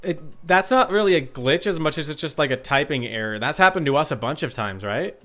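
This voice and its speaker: A man's voice: a little high, lively intonation, a little fast, fairly bright tone. A man streaming a game and chatting with viewers in a rambling, informal, sweary way. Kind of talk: casual chat with viewers. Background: music.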